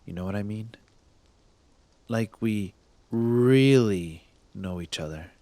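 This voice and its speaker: Man acting a slow, low-voiced smug cop. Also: warm tone, dry recording. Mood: probing, softening. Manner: teasing, intimate, halting, drops into breathy murmurs. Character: smug young male cop, secretly smitten with thief. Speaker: voice actor in audio roleplay. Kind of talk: ASMR roleplay acting, addressed to the listener.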